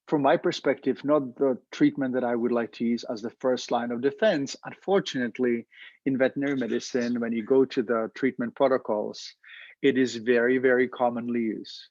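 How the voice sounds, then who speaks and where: A man's voice: medium pitch, moderate pace, a little mellow, no room sound, dull audio. A man with a Czech accent, a veterinarian host giving an educational talk, explaining step by step and often repeating words as he searches for them.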